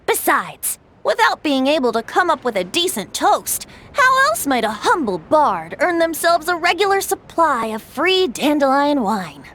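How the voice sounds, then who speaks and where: A woman's voice: sits high, very animated, a little slow, hardly any pauses, fairly bright tone, full character voice. A woman playing a cheerful, teasing, boyish wandering bard in a video game, with a playful, theatrical delivery. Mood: playfully boastful.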